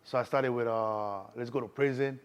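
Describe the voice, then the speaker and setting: A man's voice: mid-range voice, steady tone, normal speed, smooth, dull audio. A man in his thirties with a Chicago accent, a guest in a casual street interview, talking loosely and constantly saying "you know what I'm saying".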